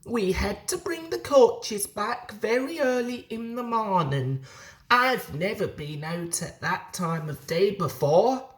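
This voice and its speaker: A woman's voice: mid-range voice, expressive, slow pace, pitched above the performer's own voice. A woman voicing a proud, chatty male steam engine telling of adventures in a children's storybook. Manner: boastful, dramatic storytelling.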